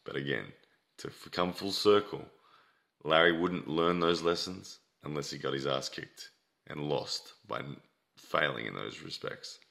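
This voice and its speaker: A man's voice: extremely low pitch, measured pace, vocal fry, a bit bright. A man giving a casual, blunt and scolding monologue to viewers, emphatic and repeating words for weight, his voice starting to give out. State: losing his voice, throat-clearing. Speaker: competitive arm wrestler.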